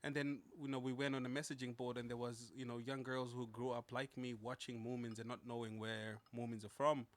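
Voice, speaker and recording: A man's voice: mid-range voice, level tone, fairly quick, hardly any pauses. A man in his thirties, a native Shona speaker, hosting a casual podcast, talking in a rambling way with many fillers. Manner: rambling, many fillers and restarts, laughs easily. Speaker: podcast host.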